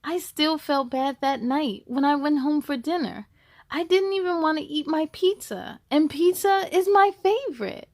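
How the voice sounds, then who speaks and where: A woman hosting a children's storytime, reading aloud in a cheerful, encouraging and expressive way. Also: sits high, moderate pace, no room sound. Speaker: host of a children's read-aloud show.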